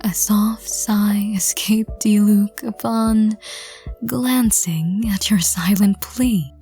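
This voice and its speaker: Very slow, breathy woman narrator. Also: mid-range voice, fairly even intonation, soft background music. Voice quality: soft, breathy, whispery. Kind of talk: scripted audio roleplay narration.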